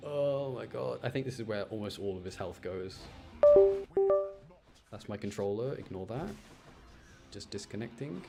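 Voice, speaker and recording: Low-voiced excitable man over music. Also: moderate pace, creaky, pauses often. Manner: excitable, repeats phrases for emphasis. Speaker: gamer showing gameplay clips. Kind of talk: casual gameplay commentary.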